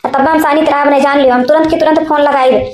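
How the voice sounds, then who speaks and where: Domineering cartoon co-wife , female performer, high voice, eastern Uttar Pradesh accent, bossy and threatening, echoey sound. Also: steady tone, fast talker, slight background noise, lightly acted. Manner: bossy, scolding, repeats commands. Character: scheming, domineering younger co-wife, bullying and threatening. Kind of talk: village family comedy cartoon dub.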